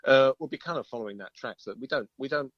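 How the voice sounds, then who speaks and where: A man, a band musician chatting as an interview guest in a hesitant, halting way that often repeats itself. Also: medium pitch, no room sound, muffled recording. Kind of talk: casual interview chat.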